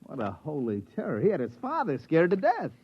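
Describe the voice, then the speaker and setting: Excitable old radio maintenance chief, slangy, lively highish voice, muffled recording. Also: male voice, brisk, slightly rough, full character voice. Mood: exasperated. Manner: animated, slangy, exasperated. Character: excitable, wisecracking head maintenance man. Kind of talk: old-time radio drama acting.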